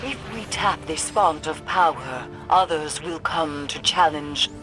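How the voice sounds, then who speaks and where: Slow, solemn, ominous mystic woman. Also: low voice. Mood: solemn, scornful. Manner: formal, solemn, cryptic. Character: grave, ominous mystic woman commune-ing with darkness. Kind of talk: dramatic video game acting. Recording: music behind the voice.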